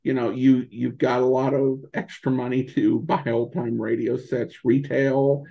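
An American man answering as a podcast guest, conversational and halting as he thinks aloud, on dull lo-fi audio. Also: medium pitch, little pitch movement, vocal fry. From United States, rural Montana in early childhood. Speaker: podcast guest, old-time radio podcaster.

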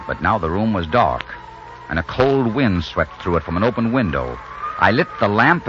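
A man's voice: medium pitch, sharp tone. An actor playing a brash, devoted romantic leading man who also narrates, in a 1940s radio drama. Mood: somber narrating. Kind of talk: 1940s radio acting with first-person narration. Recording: muffled old radio recording, noisy.

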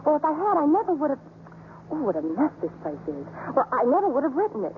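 An actress playing a brisk, chatty, opinionated newspaper columnist in a 1950s radio drama. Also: female voice, sits high, huge pitch swings, a little hiss or hum. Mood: fussy, nosy. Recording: muffled 1950s radio broadcast, music behind.

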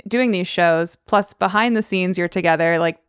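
A woman's voice: fairly low pitch, normal speed, clear, resonant tone, no echo, studio quality. A woman co-hosting a podcast in a casual, chatty style. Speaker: podcast co-host. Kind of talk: casual chat.

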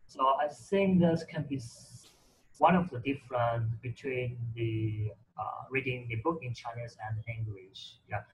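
A man's voice: mid-range voice, unhurried, a little mellow, slight background noise, poor audio. Hesitant man, Mandarin first language. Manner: hesitant, many restarts and fillers. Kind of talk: casual conversation about reading.

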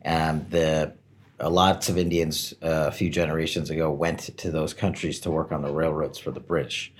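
Low-voiced man telling his career story. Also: flat delivery, normal speed. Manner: candid, self-deprecating, laughs at his own plans. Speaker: interview guest. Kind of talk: relaxed conversational storytelling.

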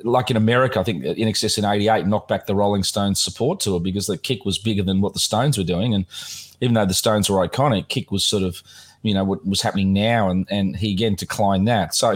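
Man, low voice, slightly croaky, rambling casual chat, lots of ums and you-knows. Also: brisk. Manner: rambling, many fillers and restarts. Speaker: podcast host.

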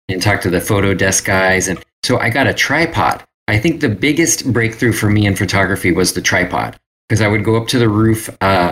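A man's voice: lowish voice, a bit bright. A man chatting casually as an interview guest, informal and given to acting out bits of dialogue. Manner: informal, acts out remembered dialogue with "like". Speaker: guest, self-published creator and photographer. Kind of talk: casual chat.

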